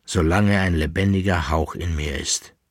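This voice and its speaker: A man's voice: low-pitched, steady tone, normal speed, no echo, clean studio sound. A man voicing a proud, blunt young nobleman in an audiobook, with formal old-fashioned phrasing. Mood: ardent.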